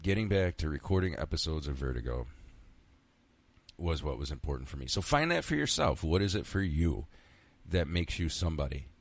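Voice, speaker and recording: A man's voice: extremely low pitch, big pitch swings, fairly quick, gravelly. A man in his fifties hosting a solo podcast, rambling casually, talking to himself and swearing now and then. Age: 50s. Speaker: podcast host.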